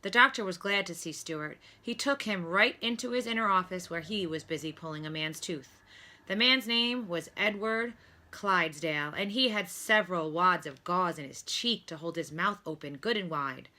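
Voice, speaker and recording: Lady teacher reading a kids book out loud, bright sharp voice, kinda low, careful delivery. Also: moderate pace. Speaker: teacher reading aloud to pupils. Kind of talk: read-aloud storybook narration with lesson prompts.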